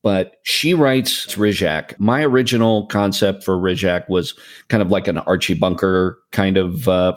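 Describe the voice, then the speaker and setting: Low-voiced guy chatting easy on a podcast mic, friendly advice, kinda earnest. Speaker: podcast guest. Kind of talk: casual podcast chat. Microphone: USB condenser mic.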